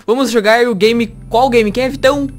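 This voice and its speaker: A young man with a Brazilian accent, casually commentating a video game, joking, excitable and swearing a lot. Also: very high-pitched, a little fast. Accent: Brazilian Portuguese. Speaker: host. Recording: game music behind.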